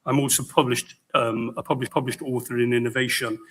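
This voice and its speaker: A middle-aged man whose voice is hoarse and somewhat croaky. He speaks in a flat, monotone but bright tone, pausing on fillers and restarting phrases as he addresses a hustings in a public hall. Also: mid-range voice. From Pembrokeshire, Wales. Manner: halting, with many fillers and restarts. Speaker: election candidate. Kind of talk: hustings speech.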